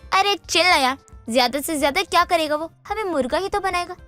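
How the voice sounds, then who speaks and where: A woman's voice: very high-pitched, animated, fairly quick, sharp tone, soft background music, heavily acted. A woman playing a vain, touchy young college girl in a cartoon story, slangy and defensive in an exaggerated way. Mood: breezy, defensive. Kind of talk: Hindi animated moral story dialogue.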